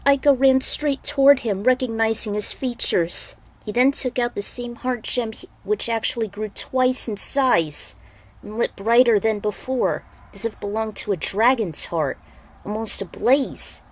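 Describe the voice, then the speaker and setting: A woman's voice: fairly high pitch, unhurried, poor audio. A woman reading as author and narrator, in a dramatic storytelling style that loosens into casual asides. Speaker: fan fiction author and narrator.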